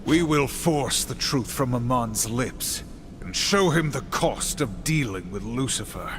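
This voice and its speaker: A man's voice: mid-range voice, expressive, measured pace, background noise, natural voice. A man playing a stern, dutiful, brooding warrior in a game cutscene, grave and formal. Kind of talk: video game cutscene acting.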